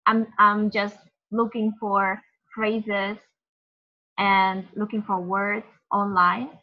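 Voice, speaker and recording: A woman's voice: mid-range voice, flat delivery, slow pace. A young woman whose first language is Vietnamese, presenting a webinar in a chatty way with many fillers and restarts. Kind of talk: casual webinar talk. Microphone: video call. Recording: dull lo-fi webinar audio.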